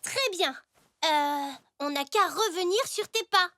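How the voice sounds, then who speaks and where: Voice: very high-pitched, unhurried, sharp tone, no echo, full character voice. A performer voicing a cheerful, helpful young zebra boy in a French children's cartoon, eager and childlike.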